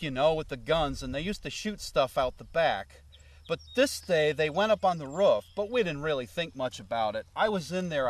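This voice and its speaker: A man, a former road manager, telling rambling anecdotes full of "you know" asides. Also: on the high side. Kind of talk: casual anecdotal storytelling. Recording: voice a little far from the mic.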